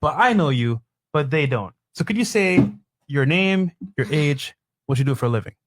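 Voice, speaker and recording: A man's voice: fairly high pitch, lively intonation, moderate pace, background noise. A man co-hosting a podcast, hyping the audience and interviewing guests in a playful, interjecting way. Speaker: podcast co-host. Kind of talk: casual podcast chat. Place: podcast studio.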